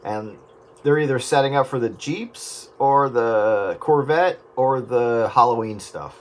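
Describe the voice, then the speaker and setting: A man's voice: medium pitch, a little slow, heavy background noise. A man in casual radio chat, explaining things in a laid-back, meandering way. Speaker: radio round-up participant.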